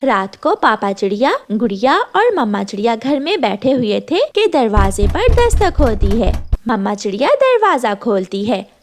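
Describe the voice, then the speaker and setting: Children's story narrator: woman, high register, highly animated scripted delivery. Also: normal speed, few pauses, slight background noise.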